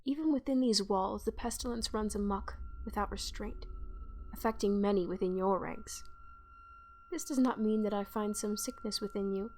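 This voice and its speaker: A woman's voice: medium pitch, normal speed, darkish tone, faint music behind, close to an everyday voice. A woman playing a cold, obsessive plague doctor creature in a horror roleplay, speaking in formal, old-fashioned, condescending phrases. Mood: obsessive, disdainful. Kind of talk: scripted horror ASMR roleplay.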